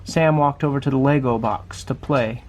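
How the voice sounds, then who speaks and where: A man's voice: mid-range voice, moderate pace, slight background noise. A man reading a children's picture book aloud, adding small conversational asides as he goes. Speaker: storybook reader.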